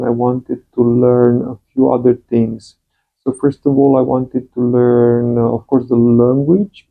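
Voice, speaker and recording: A man with a Romanian accent introduces his channel, halting often and filling gaps with soft fillers. His voice has a gravelly fry, and he speaks slowly. The sound is thin and phone-like. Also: mid-range voice. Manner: slow and halting, many uh fillers. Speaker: channel host, calligraphy teacher. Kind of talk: hesitant personal introduction.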